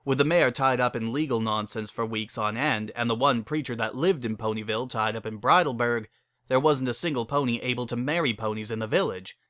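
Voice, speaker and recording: Bright-voiced male story narrator. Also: medium pitch, brisk, no room sound, studio quality. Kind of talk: dramatic reading of fan fiction.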